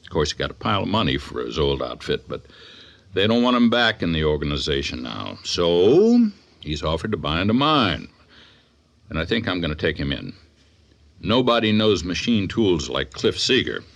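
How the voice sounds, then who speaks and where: A man's voice: low-pitched, sing-song, normal speed, creaky, fairly bright tone, full character voice. A man playing a genial middle-aged father and business owner in a radio drama. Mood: upbeat.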